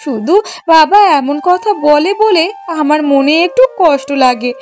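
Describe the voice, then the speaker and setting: A spoiled young woman in a cartoon family drama, voiced by an actress. Her voice sits very high, with a plaintive whine. She wheedles like a pampered daughter. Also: expressive, a little slow, faint music behind. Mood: sulky, wheedling. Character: spoiled, whiny young daughter of marriageable age. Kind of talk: Bengali moral-story cartoon dubbing.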